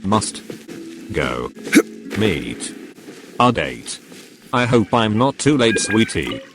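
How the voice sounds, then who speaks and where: Playful gravelly man commentating on a game. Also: on the low side, sing-song, drawn-out words, over music. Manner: playful, cheeky. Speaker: game streamer. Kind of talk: casual gameplay commentary.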